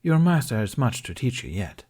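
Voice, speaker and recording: A man voicing a kindly older male mentor in an audiobook, with a dry, teasing and measured way of talking. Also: medium pitch, sing-song, a little mellow, breathy edge, pitched above the performer's own voice. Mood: wry teasing. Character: older male Jedi master, wry, kindly, bearded mentor. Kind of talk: audiobook character dialogue.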